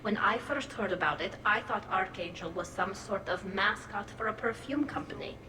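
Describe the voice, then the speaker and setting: A woman's voice: mid-range voice, moderate pace, echoey room, background noise. An actress playing a chatty, playful young alien woman in a video game, heard through a helmet filter. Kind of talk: video game character acting.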